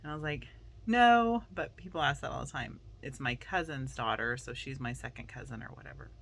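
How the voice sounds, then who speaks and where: Chatty Southern woman, gravelly voice. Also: very deep voice, animated, fairly quick, a bit bright. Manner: chatty, rambling, with fillers. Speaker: vlogger and teacher. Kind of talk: casual vlog chat to camera. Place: outside by her car at school, then indoors at night.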